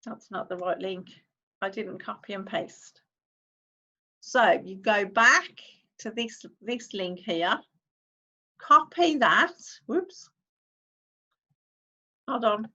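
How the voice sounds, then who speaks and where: Bright, slow-talking woman. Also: medium pitch, highs cut off. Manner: chatty, clicks through steps while talking, reads on-screen text aloud. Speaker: online-income YouTuber host. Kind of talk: casual screen-share tutorial.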